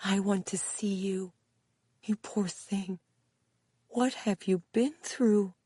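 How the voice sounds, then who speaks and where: A woman's voice: medium pitch, fairly even intonation, slow, mellow, breathy edge, dry recording, pitched above the performer's own voice. Woman narrator as a tender, worried older aunt. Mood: tender, concerned. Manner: tender, concerned questioning. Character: affectionate, ailing older aunt, anxious and tender.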